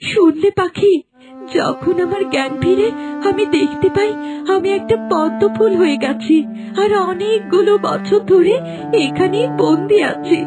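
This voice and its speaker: A woman playing a gentle, sorrowful young woman turned lotus flower in a fairy-tale cartoon, tender and plaintive. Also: very high voice, measured pace. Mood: wistful. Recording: music behind the voice.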